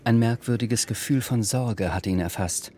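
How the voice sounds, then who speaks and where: A man narrating a literary radio drama from a script in a measured, sober manner. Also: medium pitch, warm tone, slight background noise.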